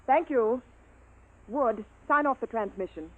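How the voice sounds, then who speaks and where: A woman's voice: a little high, a little slow, very noisy, lightly acted. Actress as a commanding female officer, tinny old radio. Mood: brisk, firm. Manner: commanding, clipped orders. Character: female military base commander, decisive and authoritative. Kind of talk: 1950s radio acting. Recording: muffled, tinny vintage radio recording.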